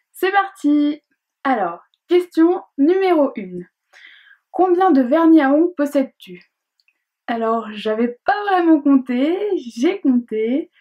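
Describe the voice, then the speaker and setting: Young woman, beauty vlogger, fairly high pitch, easygoing chatty delivery full of fillers. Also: dull audio. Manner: chatty, hesitant, many fillers and restarts; reads questions aloud in a brighter voice. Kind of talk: casual chat to camera.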